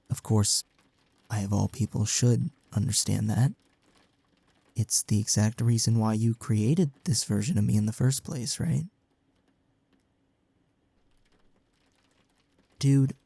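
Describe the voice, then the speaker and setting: A man plays a gentle young male best friend in an intimate audio roleplay. His voice is mellow and warm with a creaky edge, recorded very dry and close. He speaks earnestly and frankly, offering reassurance. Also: mid-range voice, no room sound. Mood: gentle, resigned.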